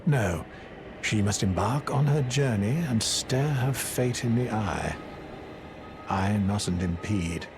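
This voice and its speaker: An actor playing an old, gentle, wistful sorcerer in a fantasy game, speaking in formal archaic phrases. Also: male voice, fairly low pitch, takes it slowly, noisy background. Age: older. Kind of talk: dramatic fantasy game acting.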